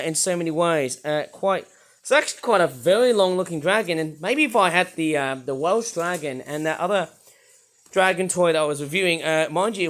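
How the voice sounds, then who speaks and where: A British man with a croaky voice, casually reviewing toys in a rambling, enthusiastic way with lots of hesitations. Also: on the high side. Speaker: toy reviewer.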